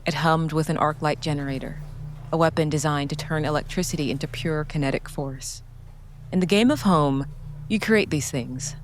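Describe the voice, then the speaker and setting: A woman giving scripted, dramatic narration as a storyteller, solemn and measured in short phrases. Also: sits low, a little hiss or hum. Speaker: narrator and solo game player.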